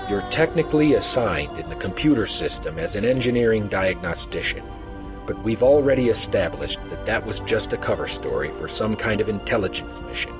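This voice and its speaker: A man playing a calm probing starship commander. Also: lowish voice, a little fast, natural voice. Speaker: acting captain. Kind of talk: fan audio drama acting. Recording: music score underneath.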